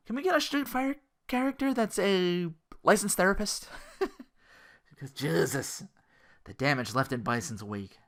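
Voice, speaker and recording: A podcast host giving casual solo commentary on video games, rambling and profane, often acting out reactions. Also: medium pitch. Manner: rambling, animated asides, profane, acts out reactions.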